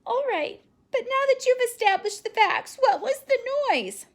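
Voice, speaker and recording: A woman voicing a frightened, excitable little girl who shrieks and pleads near tears. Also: moderate pace, creaky, full character voice. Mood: pleading. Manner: shrill, breathless, near tears.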